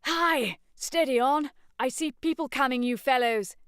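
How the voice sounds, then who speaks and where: A woman voicing a jovial middle-aged male country clergyman who calls out loudly. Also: sits high, a little slow, smooth, dry recording, studio quality, pitched above the performer's own voice. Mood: alarmed. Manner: calling out loudly in alarm.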